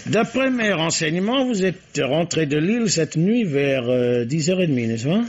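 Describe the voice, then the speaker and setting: An actor playing a shrewd, courteous police commissioner who questions suspects formally in a radio mystery. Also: male voice, on the high side, lively intonation, moderate pace, slight background noise, close to an everyday voice. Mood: measured, probing. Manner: formal, probing, polite. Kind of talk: French radio mystery drama acting. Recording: dull, band-limited radio drama recording.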